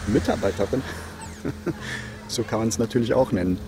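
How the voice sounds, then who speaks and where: An actor playing a gossipy, devoted political follower in a radio drama, talking in an eager, confiding way. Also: male voice, mid-range voice, expressive, normal speed, music in the background, close to an everyday voice. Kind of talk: German radio drama acting.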